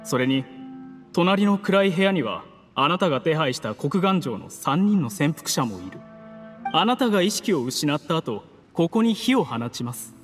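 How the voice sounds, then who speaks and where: 男性の声優がゲームで演じる、礼儀正しく落ち着いた口調で脅す冷酷な策士の役人。. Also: male voice, on the high side, very animated. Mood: calculating menace. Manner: courteous, measured, menacing formal speech. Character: coolly ruthless scheming male official, polite betrayer. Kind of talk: dramatic game cutscene acting in Japanese anime manner. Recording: long reverb, music underneath.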